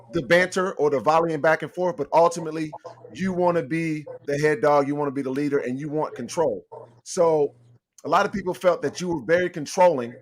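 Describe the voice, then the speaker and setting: A man hosting a podcast, asking long conversational questions with casual slang. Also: on the high side. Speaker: podcast host.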